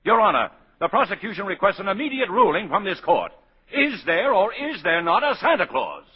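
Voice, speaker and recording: An actor playing an exasperated prosecuting attorney with indignant, formal oratory in a 1940s radio drama. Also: male voice, high-pitched, sing-song, brisk, husky, exaggerated voice. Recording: muffled vintage 1940s radio broadcast.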